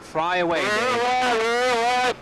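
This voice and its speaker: A man riding along and filming the trip, with slow, laid-back remarks. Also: very high voice, soft background music, poor audio. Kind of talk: casual chat on the road. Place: in and beside a car. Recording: loud road noise, home video.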